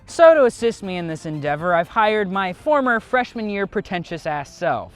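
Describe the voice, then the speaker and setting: A man's voice: high voice, sing-song, moderate pace, clean tone, soft background music. A young man hosting a comedic video essay, sarcastic, profane and self-deprecating.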